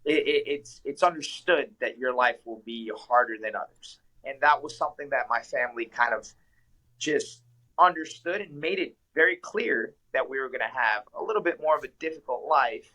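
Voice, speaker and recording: A man's voice: medium pitch, normal speed, pushed and bright, rough recording. A young man from Ecuador, a professional fighter, answering interview questions in an earnest, reflective way with frequent fillers. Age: young adult. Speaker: guest, professional mixed martial arts fighter.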